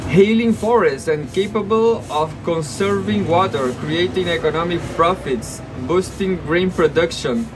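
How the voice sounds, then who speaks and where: Halting man reading news with sarcastic asides. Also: high voice, rough recording. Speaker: news commentator. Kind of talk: reading news with off-the-cuff commentary.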